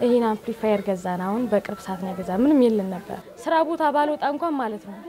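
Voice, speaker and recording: A woman's voice: medium pitch, lively intonation, racing. A woman who listens to radio drama, chatting casually about it. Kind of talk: casual chat.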